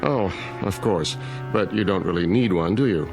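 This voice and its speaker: Low-voiced, smoothly courteous mayor. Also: male voice, animated, music in the background. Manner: formal, courteous, measured. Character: unflappable, smoothly polite small-town mayor with veiled menace. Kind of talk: audio drama acting.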